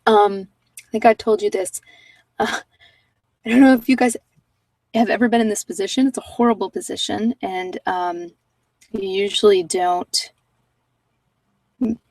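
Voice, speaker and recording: A woman's voice: medium pitch, steady tone, a little slow, long pauses, darkish tone, no echo, far from the mic, rough recording. American woman just chatting to viewers, rambly and candid, dry sound but a bit off the mic. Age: middle-aged. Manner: rambling, candid, digressive storytelling. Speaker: vlogger chatting with live viewers. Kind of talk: casual chat.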